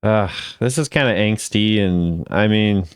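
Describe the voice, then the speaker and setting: A man's voice: fairly low pitch, measured pace, clean tone, no room sound, studio quality. A man hosting a gaming video, giving casual commentary with informal, musing reactions.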